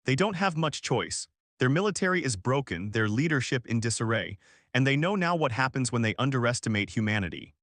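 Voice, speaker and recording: A man reading as an assured female space fleet commander in a science fiction story, measured and confident. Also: medium pitch, very animated, a bit bright, no room sound, clean studio sound. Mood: proud, resolute.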